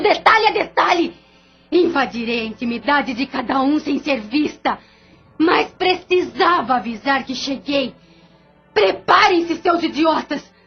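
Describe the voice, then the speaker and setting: A woman's voice: sits high, vocal fry, muffled recording, full character voice. A woman voicing the bitter, vengeful spirit of a dead woman, declaiming threats in an audio drama.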